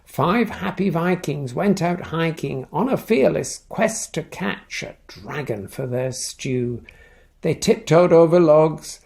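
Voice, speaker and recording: A man reads a children's storybook aloud in a playful, expressive way. His voice is slightly high and creaky, and he takes his time over the words. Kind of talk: animated read-aloud storytelling with asides.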